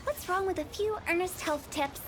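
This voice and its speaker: A woman's voice: extremely high pitch, normal speed. A woman voicing a playful young funeral director with a mischievous, cheerfully morbid way of talking. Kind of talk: game voice-over.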